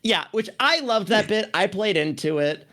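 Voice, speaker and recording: A voice actor chatting casually on a group commentary, telling stories in an animated, rambling way with plenty of swearing. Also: medium pitch, moderate pace, a crowd in the background. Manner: animated, rambling storyteller with frequent profanity.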